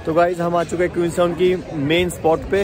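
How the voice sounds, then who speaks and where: A man's voice: sits high, monotone, quick pace, crowd noise. A man, chatting informally to viewers as a travel vlogger. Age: 30s. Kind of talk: casual vlog narration to camera. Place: moving between car, house and busy outdoor streets. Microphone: phone at arm's length. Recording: phone recording with music behind.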